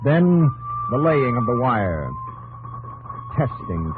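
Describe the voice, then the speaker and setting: A man's voice: medium pitch, very animated, slow pace, heavy background noise. A man narrating a 1950s radio drama in dramatic, clipped, solemn phrases. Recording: old radio broadcast with organ music.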